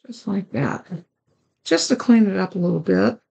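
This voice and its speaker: A woman with a raspy, hoarse voice hosting a card-making tutorial, chatting along as she works through each step. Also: mid-range voice, expressive, moderate pace, dark tone, dull audio. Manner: chatty, talks while working with her hands. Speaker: card-making channel host.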